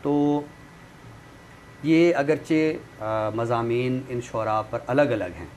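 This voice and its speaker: Male literary commentator, mid-pitched, formal and measured delivery, some background noise on the recording. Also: a little mellow. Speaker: literary commentator and guest expert. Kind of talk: literary talk and book review with some poetry recital. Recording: clearly noisy background.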